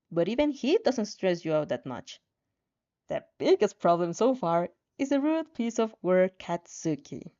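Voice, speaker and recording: A woman's voice: medium pitch, dark tone. A woman narrating fan fiction expressively, with casual reactions, on a slightly dull, lo-fi recording.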